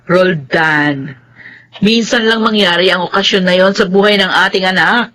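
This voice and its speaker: A woman with a Filipino accent playing a gentle, pleading middle-aged mother in a radio drama. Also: lowish voice, moderate pace, natural voice.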